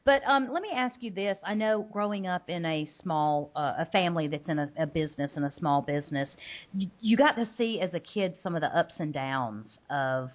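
A woman's voice: low-pitched, normal speed. A woman hosting a business podcast in a cheerful, chatty interview style. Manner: cheerful and chatty, with some fillers. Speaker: podcast host. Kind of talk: casual interview chat. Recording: dull lo-fi podcast audio.

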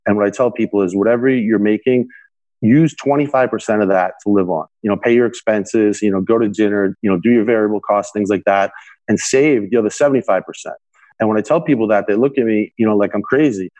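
A man's voice: lowish voice, fast talker, a little mellow, no echo, rough recording. A young man, a guest on an interview podcast, earnestly giving money advice and filling his speech with "you know". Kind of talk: conversational interview answers.

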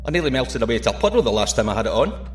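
Guy playing a jokey british astronaut, quick talker, sci-fi audio drama banter, music behind. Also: mid-range voice, big pitch swings, natural voice. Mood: dry, joking. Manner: joking, banter. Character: wisecracking, nervy male British astronaut.

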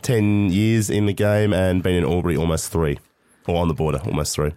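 A man's voice: sits low, steady tone, a little fast, dark tone, clean tone, dry recording. A man in his thirties from Melbourne with an Australian accent, a radio host chatting casually in a studio, laid-back and laughing often, a little sick with a cold. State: has a cold. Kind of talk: casual chat. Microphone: studio mic.

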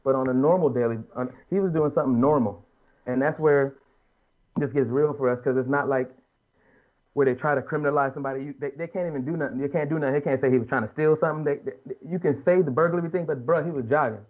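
Fast-talking man in his late thirties, muffled audio. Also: medium pitch. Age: late 30s. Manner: earnest, emphatic, colloquial, repeats and rephrases as he argues. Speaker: podcast panelist. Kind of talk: casual opinionated panel talk.

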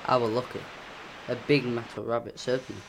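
A man's voice: mid-range voice, flat delivery, brisk, noisy background. A man reading a spooky first-person story in a plain, earnest way.

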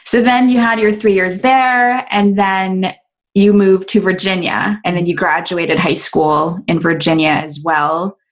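A woman's voice: mid-range voice, fairly even intonation, measured pace. A woman hosting a podcast, asking her guest questions in a friendly, conversational way. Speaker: podcast host. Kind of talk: interview conversation.

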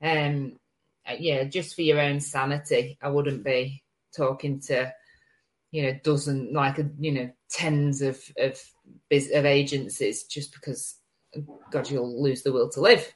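A woman's voice: extremely low pitch, steady tone, a little slow, low-quality recording. A woman hosting a marketing show as a casual chat, talking in an informal, wry way. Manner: informal, wry, with mild swearing. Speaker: host of a marketing agency live show.

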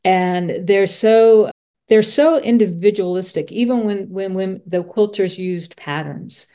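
A woman's voice: mid-range voice, measured pace, warm tone. A woman hosting a casual podcast interview, effusive and rambling, rephrasing her thoughts as she goes.

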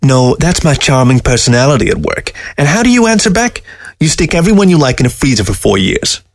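A man's voice: mid-range voice, sing-song, a little fast, vocal fry, dry recording, voice pushed up. A man voicing a sardonic, taunting fox in a storybook reading, with a teasing, folksy manner. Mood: exasperated. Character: sardonic, taunting dead fox, talking to its taxidermist.

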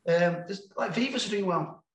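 A man's voice: sits high, moderate pace, echoey room, over a noisy crowd. A man with a Sheffield accent, a guest on a podcast, chatting casually and self-deprecatingly, with lots of laughter and restarts. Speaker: guest, music label founder.

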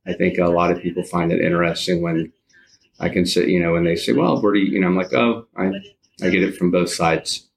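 Low-voiced man in his fifties, halting. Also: fairly even intonation, gravelly, poor audio. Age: 50s. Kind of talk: conversational interview answers.

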